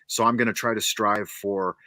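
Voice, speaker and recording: Bright-voiced upbeat male interview host. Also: fairly low pitch, steady tone, normal speed, no room sound. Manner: upbeat, promotional, stammers on starts. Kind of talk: casual interview conversation.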